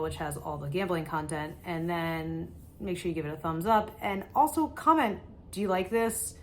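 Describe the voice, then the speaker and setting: A woman's voice: fairly low pitch, a little hiss or hum. A woman in her thirties, talking casually to her phone camera in a selfie vlog, her voice a little worn, with many fillers. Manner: relaxed, chatty, frequent filler words. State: slightly losing her voice. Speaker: vlogger. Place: hotel rooms and casino lobby, indoors. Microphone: phone camera at arm's length.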